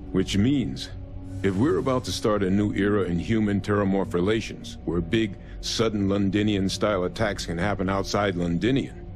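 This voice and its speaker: An actor playing a weary, wry older male scientist in a video game, halting and wary as he talks. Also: low voice. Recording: loud music under the voice.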